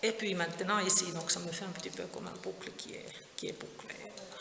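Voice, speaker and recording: A woman's voice: lowish voice, steady tone, fairly quick, vocal fry, crowd noise. Woman, hesitant, echoey gallery. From Finland. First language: Finnish. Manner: hesitant, many fillers and restarts. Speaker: visual artist. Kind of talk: interview at her exhibition. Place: gallery space with sound installation playing. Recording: roomy echo, music in background.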